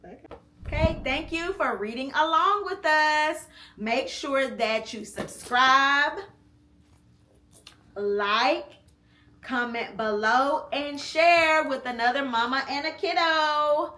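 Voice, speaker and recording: A woman reading a picture book aloud at home with her child, gentle and encouraging, stopping to prompt him. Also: high voice, takes it slowly, reverberant. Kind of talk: read-aloud storytime and casual chat.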